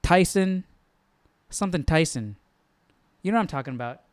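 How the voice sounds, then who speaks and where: A man's voice: fairly high pitch, animated, moderate pace, no room sound. A man hosting a live Bible study, thinking aloud in a conversational, questioning way. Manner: conversational, questioning, repeats himself for emphasis.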